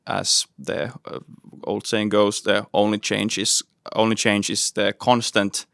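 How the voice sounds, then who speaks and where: A man's voice: on the low side, creaky, a bit bright, no room sound, clean studio sound. A man introducing himself on a podcast, hesitant and full of fillers. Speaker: startup guest.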